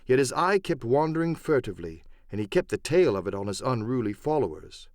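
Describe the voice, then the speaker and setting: A man narrates an audiobook in a dramatic storytelling style. His mid-pitched voice moves expressively up and down, and the recording is close and dry. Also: sing-song, fairly quick, a little mellow, dry recording. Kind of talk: dramatic scripted first-person narration.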